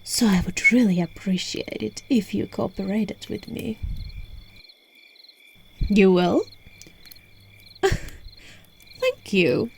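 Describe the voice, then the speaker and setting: Slow, lilting, alluring witch acted by a woman. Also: mid-range voice, animated, gravelly, a little hiss or hum, exaggerated voice. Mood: hopeful, grateful. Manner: teasing, coaxing, unhurried. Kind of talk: ASMR roleplay addressed to the listener. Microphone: phone. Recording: phone recording with music and night crickets.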